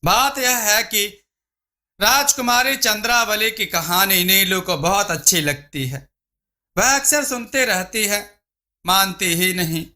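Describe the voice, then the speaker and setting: Guy telling a folk story, really bright sharp voice, slow and high pitched. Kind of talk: scripted narration of a Hindi moral folk tale.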